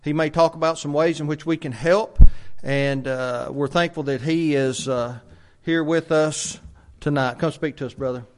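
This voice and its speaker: A man, a church minister introducing a guest speaker in a halting way, with many fillers. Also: a little high, fairly even intonation. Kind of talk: church announcement.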